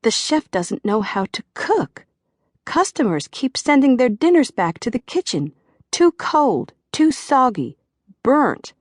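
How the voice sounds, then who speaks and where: A woman's voice: high-pitched, sing-song, slow, dry recording, studio quality, pitched above the performer's own voice, full character voice. A woman doing a moaning Southern head waiter. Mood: exasperated. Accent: Georgia (US South). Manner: moaning, exasperated. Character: middle-aged man, complaining head waiter.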